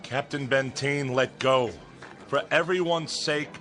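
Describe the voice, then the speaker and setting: A man's voice: medium pitch, steady tone, unhurried, fairly bright tone, a little hiss or hum, lightly acted. An actor playing an earnest, pleading man among stranded colonists in a radio drama. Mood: pleading, firm.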